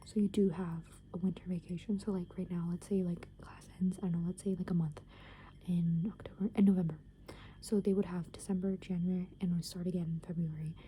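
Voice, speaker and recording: A young woman whispering close to the microphone, rambling softly and casually with plenty of "like" and "um" as she talks. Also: fairly low pitch, flat delivery, fast, slight background noise. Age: young adult. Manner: soft unvoiced whisper, rambling with many fillers. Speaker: ASMR creator. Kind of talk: whispered ASMR soft rambling.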